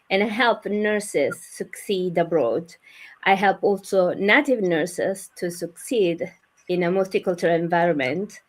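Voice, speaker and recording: A woman from Italy with an Italian accent, hosting a live online chat over a video call in slightly halting English. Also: on the low side. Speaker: host and career coach.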